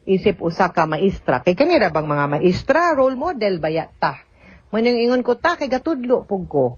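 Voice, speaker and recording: Female radio advice host, Cebuano Bisaya accent, mid pitch, emphatic conversational delivery, dry lo-fi sound. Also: sing-song, moderate pace, slightly rough, no room sound. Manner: conversational, emphatic, with interjections and English code-switching.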